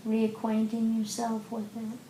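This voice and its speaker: A woman leading a guided meditation as a teacher, speaking gently and unhurriedly with long pauses in an indoor room. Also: monotone. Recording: roomy echo, faint rumbling background noise.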